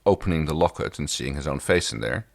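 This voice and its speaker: A man's voice: rumbling low, expressive, quick pace, creaky. A man co-hosting a casual recap podcast, drily fussy about details. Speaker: podcast co-host. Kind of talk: casual episode recap chat.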